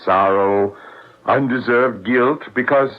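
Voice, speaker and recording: An actor playing a patient, firm but sympathetic police lieutenant, measured and considerate, in an old-time radio drama. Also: male voice, medium pitch, close to an everyday voice. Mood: reassuring. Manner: measured questioning with hesitant, considerate pauses. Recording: dull, lo-fi radio drama recording.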